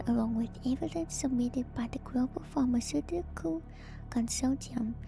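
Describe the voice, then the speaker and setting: A woman streamer speaking in a soft, breathy ASMR whisper, reading aloud and adding casual, musing comments. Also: high voice. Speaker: streamer and host. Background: music.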